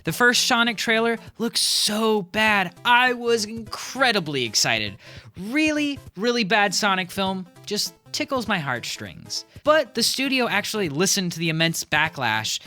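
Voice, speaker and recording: A man's voice: sits high, very animated, unhurried, sharp tone, faint music behind. A young man hosting a video essay in a wry, casual scripted commentary style, with fillers. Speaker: host.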